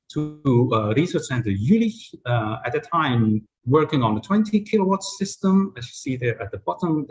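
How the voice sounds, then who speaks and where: A man's voice: medium pitch, poor audio. Man presenting with many fillers. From Hong Kong. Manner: conversational, frequent uh fillers and restarts. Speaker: invited speaker. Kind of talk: webinar presentation.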